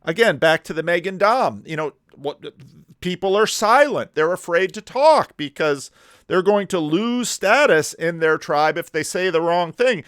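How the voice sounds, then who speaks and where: A man commenting on a video clip, talking in animated rhetorical questions with slow, deliberate emphasis. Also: sits high, clear, resonant tone, no room sound, studio quality.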